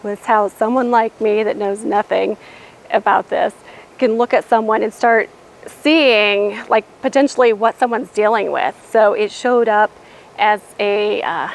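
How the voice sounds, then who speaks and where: A woman's voice: medium pitch, a little slow, creaky, low-quality recording. A woman in her forties, enthusiastic and chatty, speaking outdoors on a lapel mic. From Northern Indiana. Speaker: hotel interior designer. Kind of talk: testimonial interview. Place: outdoors by a log cabin.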